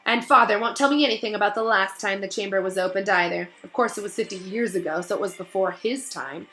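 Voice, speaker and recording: A woman voicing an arrogant, petulant schoolboy bully in a storybook, with a slow disdainful drawl that turns snappish. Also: mid-range voice, a bit bright, a little hiss or hum, natural voice. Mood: smug.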